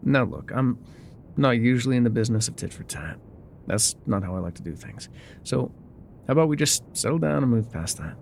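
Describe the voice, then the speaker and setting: Guy doing a gruff cowboy-ish trail guide for asmr roleplay, crackly fry in the voice, guarded and folksy, bit of rumble behind. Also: expressive, fairly quick, a little mellow. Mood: guarded, dry. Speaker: voice actor in an audio roleplay. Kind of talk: immersive ASMR roleplay acting, talking to the listener.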